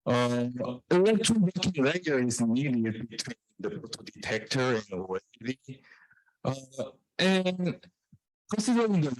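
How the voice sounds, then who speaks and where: A man presents technical research. His voice sits at an average male pitch. He moves haltingly, often restarting and repeating phrases, and the sound is dry with little room in it. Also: no room sound, rough recording. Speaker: researcher presenting own work.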